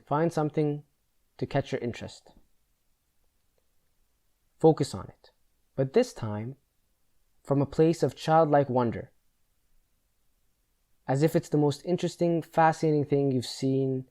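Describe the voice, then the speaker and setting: Mellow-voiced man guiding a meditation. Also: mid-range voice, measured pace, long pauses. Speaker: meditation guide. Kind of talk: guided meditation, slow instruction.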